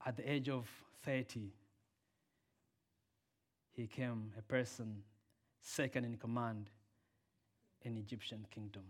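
A man preaching a sermon and leading a prayer, a native Swahili speaker who swings from loud emphatic peaks to soft quiet lines. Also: mid-range voice, steady tone, takes it slowly, long pauses.

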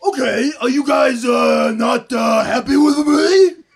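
A man acts out a big, aggrieved amateur team captain in a sitcom read. His voice sits very high and is heavily fried and husky. He is loud, bossy and exasperated, stretching out his words. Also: slow, full character voice. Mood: wounded, defensive. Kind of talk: comic sitcom table read.

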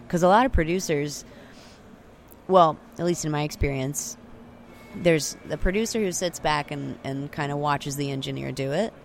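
A woman's voice: low voice, creaky, a little hiss or hum. A young woman from Texas, chatting casually as an interview guest, full of "like" and restarts. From Austin, Texas. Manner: chatty, filler-heavy, frequent "like" and restarts. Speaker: singer-songwriter guest. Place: remote location, portable recorder. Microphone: handheld recorder with external mics.

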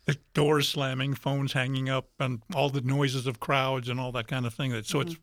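A man's voice: mid-range voice, level tone, normal speed, a bit bright, dry recording, studio quality. A man explaining things as a theater guest in a radio interview.

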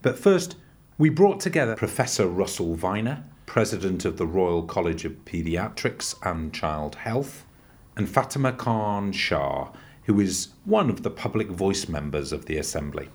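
Narrator, man, very low pitch, lively intonation, scripted announcing. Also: unhurried. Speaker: program narrator.

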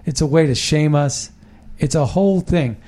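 Man, podcast host, rambling casual talk, emphatic, fairly high-pitched. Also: normal speed, mellow. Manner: rambling, emphatic, with frequent restarts.

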